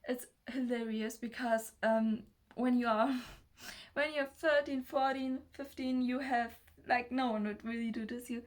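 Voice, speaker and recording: A nineteen-year-old woman from Germany with a German accent, talking casually to the camera in a nervous, hesitant, halting way as a non-native speaker. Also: on the high side, fairly even intonation. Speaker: self-development video creator. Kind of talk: casual personal talk to camera.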